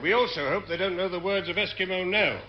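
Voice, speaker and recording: An actor playing a dry, urbane government official in a radio sitcom, on a muffled, noisy old recording. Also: male voice, high voice, fast, natural voice. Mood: dryly amused.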